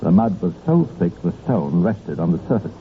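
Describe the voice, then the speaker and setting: A man narrating a 1950s radio crime drama in a measured, grave, deliberate storytelling style. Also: sits low, wildly swooping pitch, vocal fry, phone-quality sound. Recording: vintage band-limited radio recording, faint noise.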